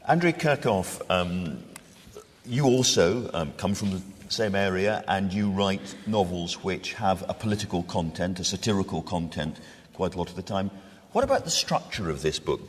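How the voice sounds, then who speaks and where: Echoey hall, deep husky guy hosting a book panel, asking guests stuff. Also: big pitch swings, moderate pace. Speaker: host, panel moderator. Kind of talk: literary discussion, questioning guests. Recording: echoey hall with long reverb.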